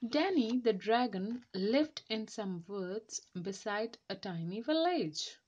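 A woman reads a children's storybook aloud slowly and carefully. Her fairly high voice moves up and down expressively, on a slightly dull home recording. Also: slight background noise. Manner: careful, deliberate reading with expressive dialogue. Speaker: storybook reader.

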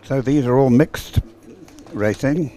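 A male sports commentator with a mid-pitched voice calls a race live. He speaks in short, unhurried phrases, often halting briefly before carrying on. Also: warm tone, low-quality recording.

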